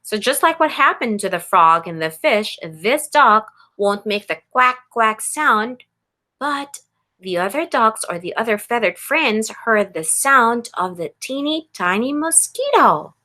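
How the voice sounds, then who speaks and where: A woman pre-K teacher doing an expressive read-aloud for young children, instructive and addressing them with questions. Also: a little high, unhurried.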